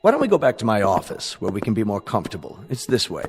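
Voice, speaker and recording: A courteous salesman speaks in a lowish voice with long hall reverb and music underneath. His patter is polished and businesslike, in the style of radio drama. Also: huge pitch swings, fast talker, slightly rough, heavily acted. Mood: smooth, reassuring. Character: smooth, courteous salesman of body transformations.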